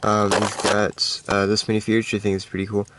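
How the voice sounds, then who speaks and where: A man with a congested voice giving a casual, halting, unscripted show-and-tell of a collection. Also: on the low side, monotone, heavy background noise. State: stuffed-up, congested voice. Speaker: hobby collector hosting a video.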